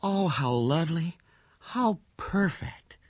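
Man putting on a high, gushing young American lady. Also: sing-song, brisk, fairly bright tone, dry recording, heavily acted. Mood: enraptured. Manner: gushing, eager, wide-eyed. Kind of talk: storybook character voice.

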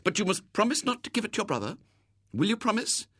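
A man's voice: high-pitched, sing-song, quick pace, slightly rough, no room sound, studio quality, pitched above the performer's own voice, full character voice. A man voicing a severe, prim and gullible woman in a storybook, with a proper manner. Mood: gracious, gentle. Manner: grim and sharp, softening to gracious.

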